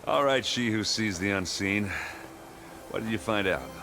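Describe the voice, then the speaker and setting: Deep-voiced, wry warrior sidekick. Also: male voice, moderate pace, pushed and bright, a little hiss or hum. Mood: wry, casual. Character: gruff, wry, easygoing male warrior sidekick. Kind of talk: video game cutscene acting.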